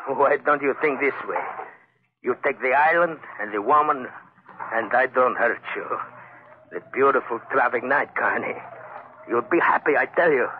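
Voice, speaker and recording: An actor playing a sly, cheerfully menacing foreign pirate in broken, accented English in a 1940s radio adventure. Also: male voice, medium pitch, moderate pace, close to an everyday voice. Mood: coaxing, menacing. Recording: noisy, muffled old 1940s radio recording.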